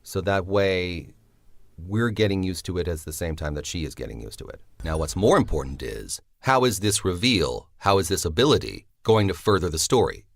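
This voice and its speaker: Deep-voiced man explaining his writing. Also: big pitch swings, normal speed, gravelly, dry recording, clean studio sound. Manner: thoughtful, explanatory, with fillers. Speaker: series writer and creator. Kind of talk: interview answer.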